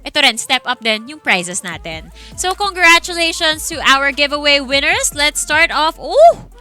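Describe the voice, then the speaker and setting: Bright, high-pitched Filipino woman hosting esports. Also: very animated, normal speed. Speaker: esports broadcast host. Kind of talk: live esports post-match hosting, switching between two languages. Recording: music behind the voice.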